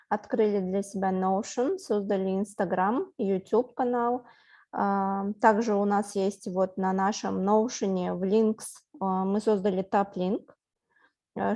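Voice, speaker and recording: Woman student presenter, mellow tone, halting delivery, video-call audio. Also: medium pitch. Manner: hesitant, many fillers. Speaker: student team member presenting project. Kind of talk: online class presentation.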